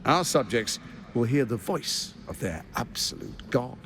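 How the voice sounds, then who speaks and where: An actor playing a scheming, grandiose tyrant lord in a video game, commanding and persuasive in a theatrical way. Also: male voice, medium pitch, lively intonation, unhurried, fairly bright tone, slight background noise. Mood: grandiose, triumphant. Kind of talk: video game cutscene acting.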